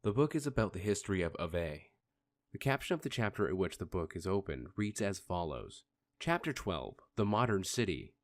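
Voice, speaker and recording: A man narrating a fan radio play in a measured storytelling manner. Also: low voice, very animated. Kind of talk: scripted narration.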